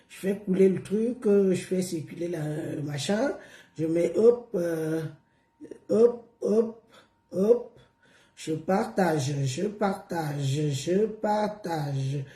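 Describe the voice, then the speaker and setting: A hoarse woman chatting on a live stream, repeating herself and coaxing viewers along with drawn-out words. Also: fairly low pitch, warm tone, echoey room. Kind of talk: casual live stream chat.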